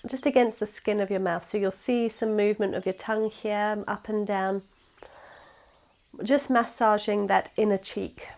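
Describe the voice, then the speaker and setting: Stuffy-nosed young woman leading a slow yoga class, soft and easy guidance. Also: medium pitch. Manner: gentle, unhurried guiding. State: congested, nasal from a cold. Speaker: yoga teacher. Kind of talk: guided yoga class instruction.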